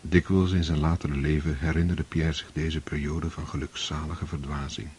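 A man narrating a radio play in a steady, measured storytelling manner. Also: very deep voice, husky. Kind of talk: scripted narration.

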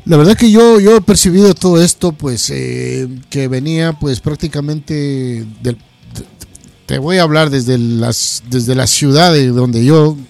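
A man chatting casually as a programme host, haltingly and often repeating words. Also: on the high side, gravelly, a little mellow. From Ecuador. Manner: hesitant, repeats words as they go. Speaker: host of a heavy metal radio programme. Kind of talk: casual interview chat.

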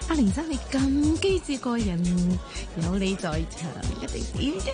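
An actress playing a flattering, scheming office employee who giggles and speaks coyly in Hong Kong Cantonese. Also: female voice, a little high. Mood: fawning. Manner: fawning, giggly, slow and coy. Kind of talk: radio drama acting. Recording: radio drama with music underneath.

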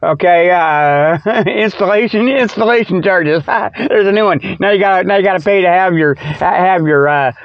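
A man hosting a comedy radio show in a rambling, ad-libbed monologue with mock sales pitches, folksy and jokey, chuckling at himself. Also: moderate pace, no room sound, muffled recording.

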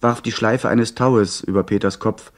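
A man's voice: fairly low pitch, fairly even intonation, moderate pace, close to an everyday voice. An actor playing the narrator of a radio adventure, telling his own story in scripted, dramatic prose. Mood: gripping, measured. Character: male storyteller recalling his pirate adventure.

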